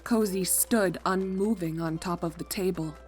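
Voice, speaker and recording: A woman reading fan fiction as narrator, expressive and dramatic, leaning on key words. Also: lowish voice, moderate pace, faint music behind. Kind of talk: expressive scripted story narration.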